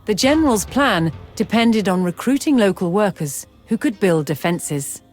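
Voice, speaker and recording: A woman giving measured, formal scripted narration in the style of a historical documentary for a game. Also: medium pitch, lively intonation, a little mellow. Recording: music behind the voice. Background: background music.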